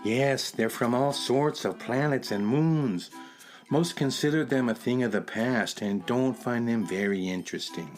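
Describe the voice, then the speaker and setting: This is a man acting as a polite, enthusiastic shopkeeper in a children's radio play. He explains eagerly at a medium pitch, with faint music underneath. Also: unhurried, a little mellow, lightly acted. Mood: eager, confiding. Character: courteous male music box gallery keeper, enthusiastic and talkative.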